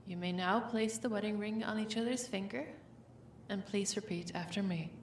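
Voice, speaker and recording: A woman playing a calm, formal royal wedding officiant in an audio drama, speaking in measured, solemn phrases. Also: medium pitch, natural voice. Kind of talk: audio roleplay drama acting. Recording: echoey, distant mic, faint noise.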